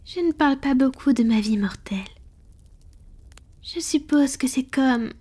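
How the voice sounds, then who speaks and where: A woman plays a proud, ancient female vampire who is tender with a lover. The voice is slightly high and very breathy, mostly whispered. The delivery is slow and intimate, in the manner of a romantic ASMR roleplay. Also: dark tone. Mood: wistful, confiding. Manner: slow, intimate, affectionate murmuring.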